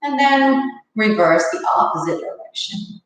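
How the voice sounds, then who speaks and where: A woman leading a live online yoga class, her voice a little rough from allergies, giving calm, guiding cues. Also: high-pitched, measured pace, far from the mic, soft background music. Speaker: yoga instructor. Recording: echoey room, away from the mic.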